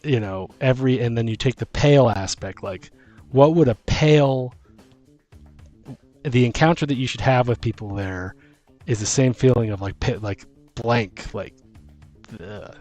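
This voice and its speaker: A man's voice: mid-range voice, darkish tone, soft background music. Man thinking aloud, musical backdrop. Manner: halting, thinking aloud, repeats phrases. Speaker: podcast host. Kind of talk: casual creative brainstorming about tabletop fantasy lore.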